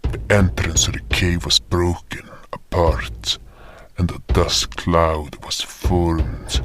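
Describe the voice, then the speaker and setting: A man playing a solemn hunter who narrates a fantasy tale of battle in a grave, breathy, deliberate way. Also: sits low. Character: solemn hunter recounting a monster fight. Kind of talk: scripted dramatic fantasy story narration. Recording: music bed behind the voice.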